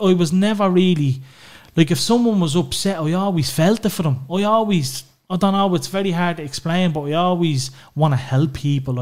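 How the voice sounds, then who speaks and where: Irish guy on a podcast, kinda high voice, swears a lot, real open, says you know constantly. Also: darkish tone. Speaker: podcast guest. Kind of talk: candid personal conversation.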